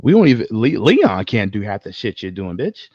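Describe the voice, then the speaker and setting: A man's voice: on the low side, big pitch swings, moderate pace, darkish tone, no echo, highs cut off. A man co-hosting a podcast, talking casually and bluntly with slang, swearing and strong opinions. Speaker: podcast co-host. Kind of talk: casual film discussion.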